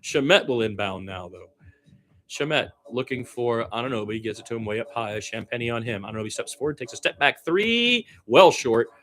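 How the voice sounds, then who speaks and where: A man's voice: mid-range voice, sing-song, a little fast, a bit bright. Man calling live basketball play-by-play. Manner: conversational, opinionated asides between calls. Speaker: radio play-by-play announcer.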